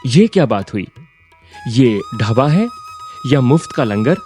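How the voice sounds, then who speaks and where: A man's voice: mid-range voice, sing-song, measured pace, a little mellow, faint music behind. A man voicing an ambitious, impatient young graduate in a cartoon story, insistent and dismissive in manner. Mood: scolding. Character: ambitious, impatient young business graduate, son of eatery owner. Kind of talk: Hindi cartoon story voice acting.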